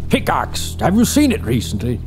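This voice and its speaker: An actor playing an obsessed, greedy older male archaeologist who talks pompously and cruelly, with a gravelly, husky voice. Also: mid-range voice, huge pitch swings, moderate pace, soft background music. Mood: imperious. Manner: pompous, cruel, bursts into manic shouting. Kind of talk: audio drama acting.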